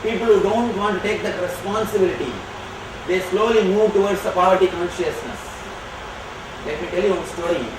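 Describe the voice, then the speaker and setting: A man whose first language is Tamil, answering audience questions as a spiritual teacher, speaking slowly and emphatically. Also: sits high. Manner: slow, emphatic and declarative. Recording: echoey hall from far off, noisy.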